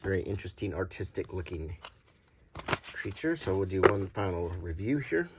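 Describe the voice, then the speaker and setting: A middle-aged man giving a casual, unscripted show-and-tell in a halting, murmured way, like unintentional ASMR. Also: low-pitched, sing-song. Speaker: host presenting a vintage game card collection. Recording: noticeable background noise, small clicks.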